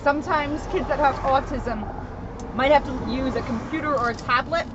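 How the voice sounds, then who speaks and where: A woman's voice: high voice, moderate pace. A woman, a police officer, giving informal explanations to children during a book reading. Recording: dull lo-fi sound, clear background noise.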